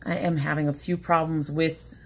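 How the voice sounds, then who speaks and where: A woman's voice: low voice, flat delivery, normal speed. A woman in her forties chatting casually through an unboxing, rambling and catching herself mid-sentence, a bit sniffly from allergies, recorded on a webcam in a small room at home. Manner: chatty, rambling, self-interrupting. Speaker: beauty vlogger. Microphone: webcam at desk.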